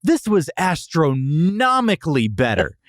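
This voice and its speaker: A man's voice: on the high side, wildly swooping pitch, unhurried, no echo, studio quality. An American man from Texas hosting a podcast, chatting casually and giving wry, exaggerated comic complaints as he reviews food. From Texas, USA.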